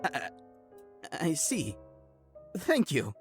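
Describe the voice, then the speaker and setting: A man's voice: a little high, wildly swooping pitch, extremely slow, soft background music, full character voice. A man playing a stern, guarded monastery official in a comic dub, speaking earnestly in formal, old-fashioned phrases. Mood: gentle, contrite. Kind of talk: dramatic voice acting for a comic dub.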